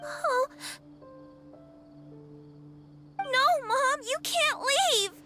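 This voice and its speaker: A woman's voice: very high-pitched, expressive, slow, music in the background, heavily acted. A woman voicing an innocent, eager little daughter in a video game, childlike and openly emotional. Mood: distressed. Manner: wide-eyed, openly emotional. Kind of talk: video game character acting.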